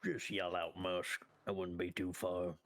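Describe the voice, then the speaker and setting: Man playing a deep, flat-voiced shady biker. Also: unhurried, no echo, studio quality. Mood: nonchalant. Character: sly laid-back biker dealing in favors for cash. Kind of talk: improvised game roleplay.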